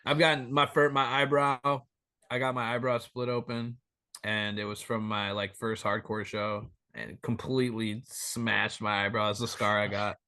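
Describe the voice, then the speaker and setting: Animated, profane man in his thirties. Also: fairly low pitch, moderate pace, no echo. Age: 30s. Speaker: band member guest. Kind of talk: casual interview chat. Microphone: remote call.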